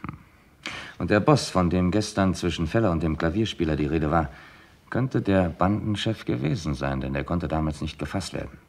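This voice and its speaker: Police chief inspector, very low voice, calm, courteous, deliberate, radio crime drama. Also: male voice. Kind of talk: German radio crime play acting.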